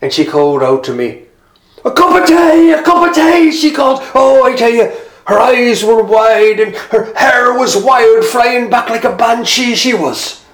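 A storyteller voicing a talkative village publican in a lower voice. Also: wildly swooping pitch, normal speed. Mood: gossipy. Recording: roomy echo.

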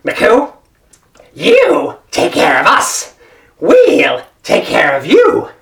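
A woman's voice: fairly high pitch, wildly swooping pitch, slow pace, reverberant, full character voice. A woman storyteller voicing a sour-tempered, self-important cherry tree in a blunt, indignant, scolding manner. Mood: bargaining, insistent. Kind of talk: live folktale storytelling.

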